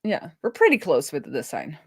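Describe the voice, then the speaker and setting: Low-pitched woman thinking aloud while coding. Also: huge pitch swings, fairly quick, husky. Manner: informal, thinks out loud while working. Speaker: live-streaming web developer and host.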